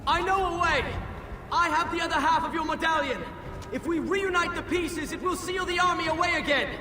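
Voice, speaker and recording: An actor playing a self-assured young prince adventurer in a video game, with a dry, sardonic, grumbling manner. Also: male voice, very high-pitched, fairly even intonation, brisk, noisy background. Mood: urgent calling. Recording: echoey, loud game music behind.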